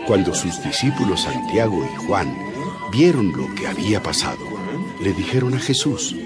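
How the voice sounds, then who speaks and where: Deep-voiced male narrator over loud music. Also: huge pitch swings, normal speed. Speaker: Bible narrator. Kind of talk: scripted dramatized scripture narration.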